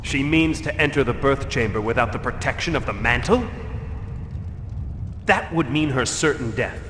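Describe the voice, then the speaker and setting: A man playing a brash, flippant young fantasy hero in a video game cutscene, with an animated delivery. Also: medium pitch, normal speed, long reverb tail, close to an everyday voice. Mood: alarmed. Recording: loud ambient noise behind.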